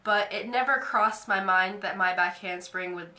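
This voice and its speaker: A young woman talks to her camera in a casual, filler-heavy ramble. Her voice is bright and a little stuffy from a cold. The bedroom around her adds a noticeable echo. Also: mid-range voice, moderate pace. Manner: chatty, lots of "like" fillers. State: nasal from a cold. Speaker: vlogger. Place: bedroom, indoors.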